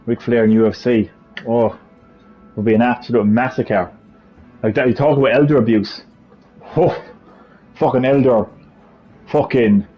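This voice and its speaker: A man's voice: medium pitch, fairly even intonation, moderate pace, pauses often, faint music behind. A man with a Cork Irish accent hosting a casual live stream, joking and ranting with lots of swearing. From Ireland. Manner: profane, jokey, ranting. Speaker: livestream host. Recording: slightly muffled stream audio.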